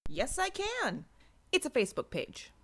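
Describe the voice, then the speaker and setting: A woman's voice: high voice, huge pitch swings, unhurried, voice pushed up, exaggerated voice. A woman voicing an earnest, awkward eighteen-year-old female superhero in an audiobook, a little hesitant.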